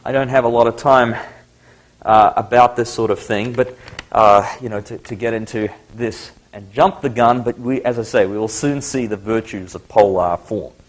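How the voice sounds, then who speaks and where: A man's voice: medium pitch, fairly even intonation, moderate pace. A middle-aged man with an Australian accent, giving a live maths lecture in a chatty way, thinking aloud at the board.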